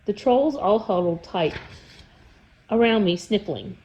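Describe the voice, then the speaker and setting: A woman's voice: mid-range voice, expressive, a little slow, dark tone, lo-fi sound, a little hiss or hum. A woman narrating a children's story expressively, as a teacher reading a book aloud.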